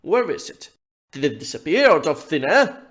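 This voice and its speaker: Audio-play captain, male actor: blustery, puzzled, brisk, animated pitch, muffled recording. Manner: exclamatory, brisk, baffled.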